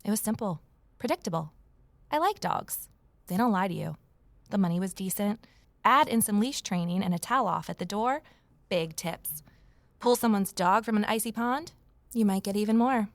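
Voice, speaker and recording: A woman's voice: mid-range voice, normal speed, pauses often, no echo, lightly acted. A woman playing a plucky, wry young former journalist in an audio drama, speaking conversationally. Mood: wry, reflective. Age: young adult. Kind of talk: first-person audio drama narration and acting.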